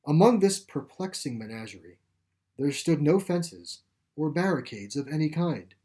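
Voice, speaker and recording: A man narrating his own short story in a deliberate, literary storytelling manner. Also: on the high side, animated, dark tone.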